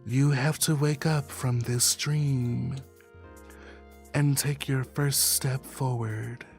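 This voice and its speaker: A man voicing a mysterious, dignified woman who speaks slowly and gently in a visual novel. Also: medium pitch, steady tone, gravelly, faint music behind. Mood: gentle, solemn. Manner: slow, measured, poetic.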